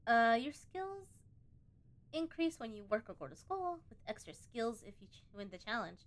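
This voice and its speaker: A woman doing a casual let's play, reading on-screen game text aloud and breaking off to think out loud. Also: fairly high pitch, very animated, normal speed. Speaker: gaming video host.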